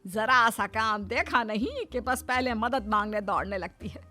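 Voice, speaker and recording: A boastful, taunting mother-in-law for a family cartoon. Her high voice swings animatedly as she scolds and nags. Music plays behind her. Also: expressive, measured pace, sharp tone. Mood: indignant. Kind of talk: Hindi family cartoon voice acting.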